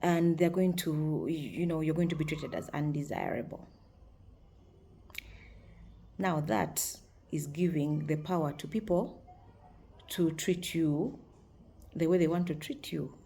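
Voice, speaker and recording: Deep-voiced frank woman in her forties. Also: a little slow, mellow, slight background noise. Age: 40s. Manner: frank, upbeat, laughs often. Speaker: vlogger. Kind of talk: casual talk to camera.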